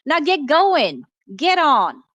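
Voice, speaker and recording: A woman acts out a grumpy country woman who runs a roadside stand in a radio play. She sounds fairly high and close in a dry room, folksy and scolding, full of suspicion toward strangers. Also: very animated, slow, fairly bright tone, no room sound, lo-fi sound, exaggerated voice. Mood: indignant, scolding. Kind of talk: amateur radio play acting.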